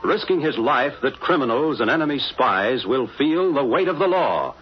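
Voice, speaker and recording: A man's voice: mid-range voice, animated, normal speed, slightly rough. A man narrating a 1940s radio drama as announcer, emphatic and theatrical in his scripted delivery. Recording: muffled old 1940s radio recording.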